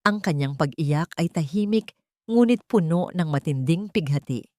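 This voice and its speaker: A woman's voice: low-pitched, animated, normal speed, darkish tone, no echo, clean studio sound. A woman reading a scripted love story as narrator, in a solemn, melodramatic manner.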